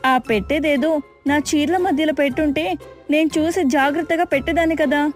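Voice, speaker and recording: A woman's voice: sits high, steady tone, moderate pace, lightly acted. A woman playing a sensible young daughter-in-law in a moral story, polite yet reproachful, with music behind her. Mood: exasperated. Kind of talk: acted moral story voice-over.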